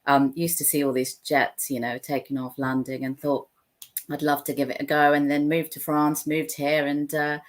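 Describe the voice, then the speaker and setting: A woman's voice: sits low, flat delivery, moderate pace. A woman from Sri Lanka, chatting as a guest on a video call from a room at home, talking freely with many fillers and restarts. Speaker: guest, founder of an aviation charity. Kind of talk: casual video-call interview. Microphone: laptop webcam on a video call.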